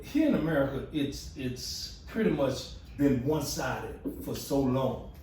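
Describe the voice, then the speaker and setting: Animated man host, mellow tone, very echoey room, distant mic. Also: mid-range voice, measured pace. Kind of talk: panel discussion. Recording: very echoey room from far off, faint noise.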